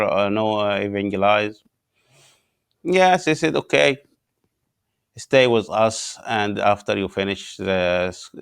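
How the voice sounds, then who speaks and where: A pastor from Upper Egypt talks in English with an Egyptian accent. His voice sits low and gravelly. He pauses often with "uh" as he hunts for words in a language that is not his first. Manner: halting non-native English with many "uh" pauses. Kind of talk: interview guest telling his story.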